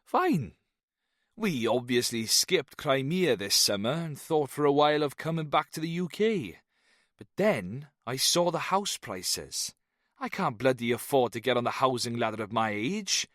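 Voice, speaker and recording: A man voicing a jovial, leering fifty-something Welsh teacher, with a lilting, slow Welsh accent. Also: medium pitch, very animated, a bit bright, no echo, clean studio sound, pitched above the performer's own voice.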